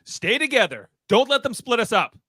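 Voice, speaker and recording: A man acts out a commanding heroic leader for radio theatre. His bright, dry voice leaps widely in pitch. He delivers orders with urgent emphasis. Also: very animated, normal speed, breathy edge, no echo, exaggerated voice. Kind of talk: live radio theatre read of screenplay.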